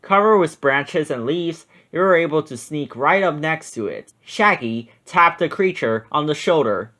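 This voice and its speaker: A reader narrating a children's story aloud in an expressive read-aloud style. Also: mid-range voice, measured pace.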